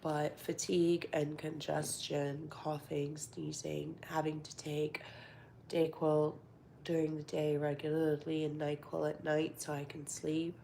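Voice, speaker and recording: Woman host, sick and congested, low gravelly voice, slow and easy delivery, live chat from home, often near a whisper. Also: flat delivery, slight background noise. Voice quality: congested, nasal. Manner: soft-spoken, drifts into half-whispers, many fillers. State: stuffed up with a cold. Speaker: livestream host. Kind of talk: casual live-stream chat.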